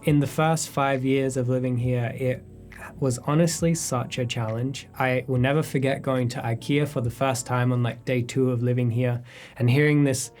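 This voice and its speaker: A man in his thirties, a photographer, answering viewer questions in a relaxed, reflective sit-down chat in his studio. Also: mid-range voice, moderate pace, faint music behind. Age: 30s. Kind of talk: casual sit-down Q&A. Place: his studio space, indoors.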